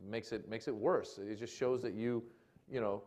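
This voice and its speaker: A man's voice: on the low side, steady tone, normal speed, darkish tone. American guy on a panel, chill, lots of you know. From Iran, raised in America. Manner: casual, filler-heavy. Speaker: comedian guest. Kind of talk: on-stage public discussion.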